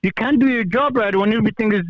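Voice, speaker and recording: Afghan accent guy calling in, pretty high voice, muffled lofi audio, earnest, telling his own story. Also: quick pace, no echo. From Kabul, Afghanistan. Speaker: call-in guest, former police officer. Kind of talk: personal account in conversation.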